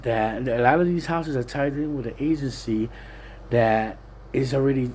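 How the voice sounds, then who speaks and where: A man's voice: mid-range voice, a little mellow, a little hiss or hum. A plain-spoken, streetwise Puerto Rican man giving a casual, rambling, repetitive monologue. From Puerto Rican, living in Pennsylvania, USA. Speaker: vlogger.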